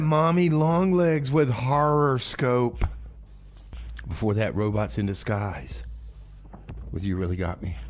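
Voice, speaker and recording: A man's voice: medium pitch, a little hiss or hum. A man hosting a music radio show in a folksy, rambling, hesitant way, often repeating himself and poking fun at himself. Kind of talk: rambling music radio presenting between songs.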